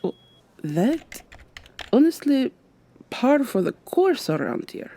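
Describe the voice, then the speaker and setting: A woman's voice: high-pitched, very animated, slow pace, gravelly, a little hiss or hum, heavily acted. A woman playing a weary, sardonic vampire receptionist with a secret, acting in a scripted ASMR roleplay. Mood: wry, disdainful. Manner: polite customer-service patter, hushed urgent asides.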